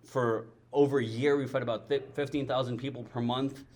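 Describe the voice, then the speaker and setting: A man's voice: mid-range voice, fairly even intonation, normal speed, darkish tone. Earnest Mexican-accented man preaching. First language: Spanish. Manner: earnest, emphatic, repeats phrases for weight. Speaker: missions ministry speaker. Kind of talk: sermon-style ministry talk.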